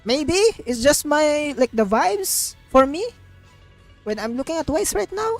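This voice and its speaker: A woman's voice: high-pitched, big pitch swings, measured pace, faint music behind. A woman livestreaming a game, chatting casually in a rambling, repetitive, informal way.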